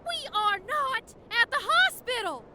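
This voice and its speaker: A woman's voice: very high-pitched, lively intonation, unhurried, very noisy. A woman playing an exasperated, protective spaceship crew member in an audio drama, speaking emphatically. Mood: appalled, exasperated.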